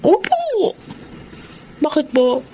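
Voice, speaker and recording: A Filipino actress playing a devoted, self-sacrificing young adult daughter, respectful and earnest, in a radio drama. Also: female voice, high-pitched, wildly swooping pitch, extremely slow, mellow, slight background noise, exaggerated voice. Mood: reassuring.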